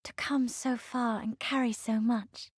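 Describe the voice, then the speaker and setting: A woman playing a gentle young woman in a fantasy game cutscene, speaking softly with quiet concern. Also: fairly high pitch, steady tone, measured pace, slightly rough, no room sound, studio quality. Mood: gentle, wondering. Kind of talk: dramatic game cutscene acting.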